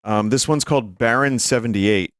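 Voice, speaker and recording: A man's voice: mid-range voice, moderate pace, smooth, no room sound, clean studio sound. A man hosting a livestream, reading text aloud and commenting casually. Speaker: streamer and host.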